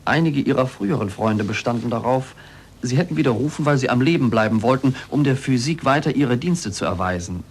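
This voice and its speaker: An actor playing a scientist's former male pupil in a 1940s radio drama, formal, measured and coolly reproachful. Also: medium pitch, expressive, natural voice. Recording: noisy 1940s radio recording.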